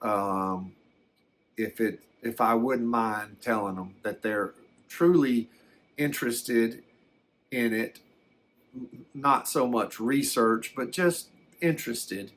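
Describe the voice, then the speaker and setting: An older man telling a personal story in a casual, unscripted way, halting and repeating words as he reflects. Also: mid-range voice, fairly bright tone, slight background noise. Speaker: storyteller recounting a personal experience.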